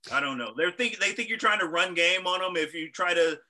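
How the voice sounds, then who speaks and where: A man's voice: high-pitched, fairly quick, pushed and bright. A man co-hosting a casual talk show, telling stories bluntly and swearing. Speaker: podcast co-host. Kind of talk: casual opinionated chat.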